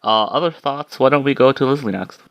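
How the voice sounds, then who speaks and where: A man's voice: mid-range voice, a little fast, gravelly, a bit bright, muffled recording. A man hosting a casual club discussion and reading out comments in a jokey, sweary way. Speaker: host leading an anime club discussion.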